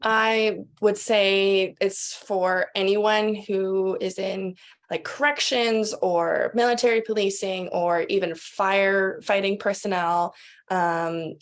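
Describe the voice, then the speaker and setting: A woman's voice: mid-range voice, fairly even intonation, slow pace. A woman with a mid-range voice speaks as a guest. She explains things casually, in a conversational interview style. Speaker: interview guest, expo organiser.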